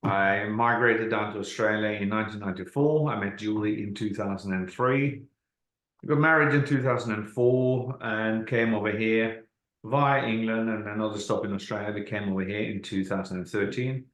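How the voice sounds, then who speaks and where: A man's voice: on the low side, fairly even intonation, normal speed, fairly bright tone. A middle-aged man from Norway, a native Norwegian speaker, hosting an informal online team presentation. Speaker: host of a business training call. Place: indoor room on a group online call. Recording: roomy, echoey online call audio.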